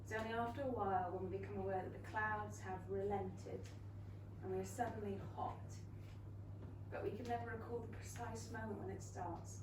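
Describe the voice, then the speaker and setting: A woman playing a bold, irreverent, free-thinking young Regency gentlewoman in a staged comedy reading, outspoken and teasing. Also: medium pitch, fairly even intonation, brisk, natural voice. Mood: tender, reflective. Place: small theatre stage. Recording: distant mic, echoey room, loud background noise.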